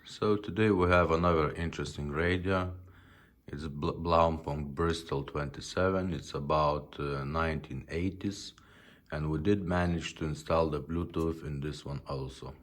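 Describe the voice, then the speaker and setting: A man's voice: rumbling low, moderate pace. A man casually presenting a product demonstration, talking viewers through it step by step. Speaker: presenter demonstrating restored car radios.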